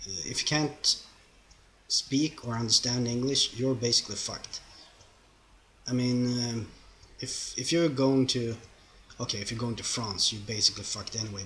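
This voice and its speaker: A young man with a Swedish accent, English not his first language, answers viewer questions in an easygoing, rambling way. He speaks at an unhurried pace with a bright, forward tone. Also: medium pitch, rough recording. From Sweden. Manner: chatty and rambling, loose grammar, frequent fillers. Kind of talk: casual Q&A vlog. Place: home, lives in Norway.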